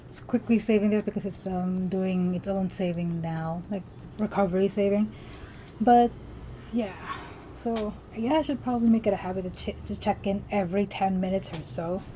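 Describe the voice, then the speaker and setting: A woman's voice: medium pitch, normal speed, warm tone, slight background noise, low-quality recording. A young woman from the Philippines chatting casually as she draws on a livestream, rambling with lots of fillers and restarts. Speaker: artist streaming while drawing.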